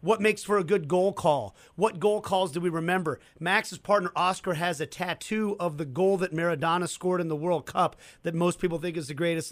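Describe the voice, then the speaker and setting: A man's voice: high voice, a bit bright, no echo. A man from Kansas City, a co-host and play-by-play announcer, telling a story in fluent, conversational chat. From Kansas City, United States. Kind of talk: casual chat.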